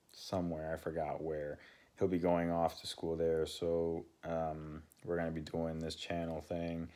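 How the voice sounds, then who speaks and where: A young man with a deep voice, talking casually to his channel's viewers and rambling with many fillers and restarts. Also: dark tone. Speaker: channel co-host. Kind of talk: casual talk to camera.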